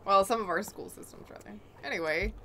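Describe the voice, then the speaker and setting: A woman's voice: mid-range voice, a little fast, pushed and bright, slight background noise. A woman chatting casually as a podcast co-host, with a wry, teasing way of talking. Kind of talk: casual chat.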